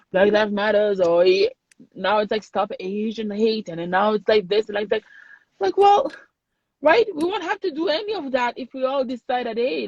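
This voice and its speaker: A woman's voice: medium pitch, creaky, a little mellow, dry recording. A woman from Haiti, talking earnestly and emphatically in a casual conversation, repeating words for weight. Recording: dry, dull lo-fi sound.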